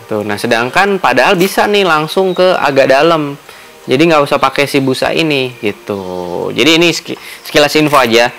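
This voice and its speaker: A man's voice: medium pitch, animated, moderate pace, a bit bright, a little hiss or hum. A man with a colloquial Jakarta Indonesian accent, chatting informally as he explains and unboxes gear, thinking aloud as he goes. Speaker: motovlogger, product unboxing host. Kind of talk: casual unscripted unboxing and tutorial chat.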